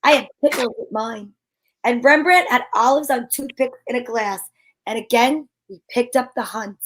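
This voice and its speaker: A high, bright voice from a woman playing a wisecracking amateur sleuth, narrating an old-time radio mystery at a brisk clip. Her delivery is snappy and knowing, the classic hardboiled first-person style. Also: poor audio. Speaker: narrator and lead in a radio play.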